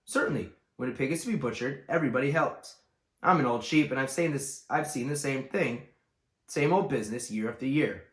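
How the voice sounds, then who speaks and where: A man voicing a gloomy, tart old female sheep who delivers bad news in a brisk, matter-of-fact way. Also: mid-range voice, reverberant, lightly acted. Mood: blunt.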